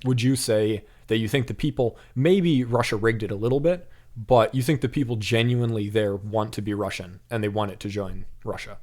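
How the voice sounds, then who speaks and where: Man, podcast host, lowish voice, casual chatty questions, says like a lot. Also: a little fast, darkish tone. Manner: casual, chatty, frequent likes.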